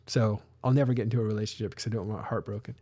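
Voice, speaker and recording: A man's voice: on the low side, fairly even intonation, rapid-fire, dark tone, dry recording, clean studio sound. A man hosting a casual live stream, talking in a rambling way with many restarts and fillers. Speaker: live show host.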